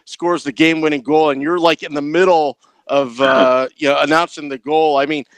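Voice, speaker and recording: A man's voice: fairly high pitch, level tone, normal speed, a bit bright, smooth, no echo, poor audio. A man hosting a casual podcast interview, talking in an enthusiastic, rambling, fan-like way. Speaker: podcast host.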